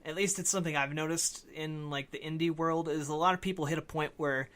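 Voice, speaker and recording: A man's voice: a little high, a bit bright. A man in casual conversation as a podcast co-host, explaining things informally and a little tentatively. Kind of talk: casual discussion of games.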